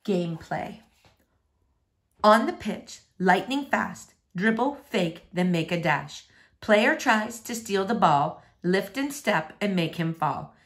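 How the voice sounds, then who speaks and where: A woman reading a novel in verse aloud in an expressive storytelling style. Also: mid-range voice, slow pace. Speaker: read-aloud reader.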